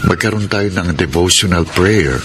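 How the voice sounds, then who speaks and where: An actor playing a devout, reassuring and devoted suitor in a romantic radio drama. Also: male voice, sits low, normal speed, noisy background, close to an everyday voice. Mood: loving, reassuring. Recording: loud music over the dialogue.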